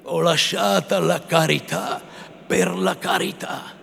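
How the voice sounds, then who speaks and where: Man playing a stern old friar, high booming shouts, gravelly, slow, echoey hall. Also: very animated, full character voice. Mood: stern sorrow. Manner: solemn, rising to furious shouts. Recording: echoey hall, faint ambience.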